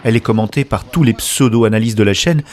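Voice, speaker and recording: Low-voiced, uneasy sleepless man, acted by a man. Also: fast, slight background noise, natural voice. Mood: stunned, anxious. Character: Abel, shaken sleepless man distrustful of a soulless tech system. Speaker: narrator and main character. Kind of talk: first-person scripted audio fiction narration.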